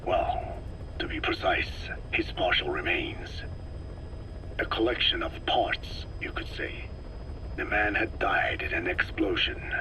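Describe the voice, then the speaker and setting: An actor playing an ancient, soft-spoken scientist in a video game, with a breathy aged voice and a measured, formal way of telling things. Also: male voice, heavily acted. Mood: reflective, intense. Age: older. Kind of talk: dramatic video game dialogue. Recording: noisy cassette tape with vehicle-like rumble.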